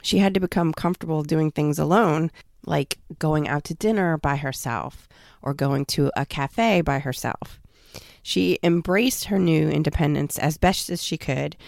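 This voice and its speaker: A woman's voice: sits low, measured pace, gravelly, a little mellow, dry recording, studio quality. A woman hosting a podcast, recording an upbeat message to listeners while stuffy and nasal from a cold. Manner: upbeat direct address to listeners. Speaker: podcast host. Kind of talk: recorded host outro.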